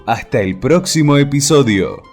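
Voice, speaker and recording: A man's voice: mid-range voice, animated, normal speed. A man with an Argentine accent reading a scripted radio outro as announcer. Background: guitar music.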